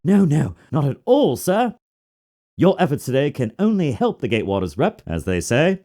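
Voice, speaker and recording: An actor voicing a self-important, morbidly eager hotel bellboy from a video game, with an obsequious, melodramatic manner. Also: male voice, fairly high pitch, very animated, moderate pace, dark tone. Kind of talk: dramatized video game dialogue.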